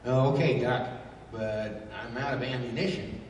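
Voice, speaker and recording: A man playing a gruff, belligerent brawler in a 1930s radio adventure, loud and defiant. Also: medium pitch, unhurried, close to an everyday voice. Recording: echoey hall, distant, faint noise.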